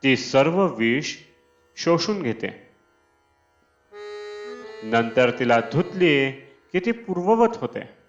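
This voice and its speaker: A man reading a scripted spiritual discourse in a measured, deliberate manner. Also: mid-range voice, animated. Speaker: reader of devotional discourses. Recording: dull lo-fi, Indian classical music behind. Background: Indian classical instrumental music.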